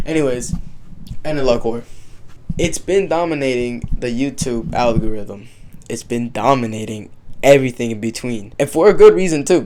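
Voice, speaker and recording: A man giving casual, unscripted commentary as a YouTuber, informal and halting, with some swearing. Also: medium pitch, darkish tone, a little hiss or hum.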